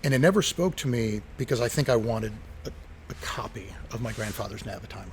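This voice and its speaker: A man's voice: lowish voice, animated, fast talker, slight background noise. A middle-aged man in casual conversation, telling anecdotes enthusiastically and acting out the dialogue he quotes. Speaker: interview guest, retired watch collector.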